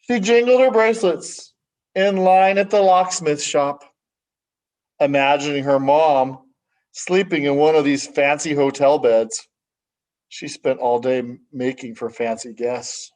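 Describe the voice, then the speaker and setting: A man reading a children's story aloud expressively, with measured, dramatic phrasing and hushed sentence endings. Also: a little high, fairly even intonation, low-quality recording. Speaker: story reader. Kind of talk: expressive children's picture book read-aloud.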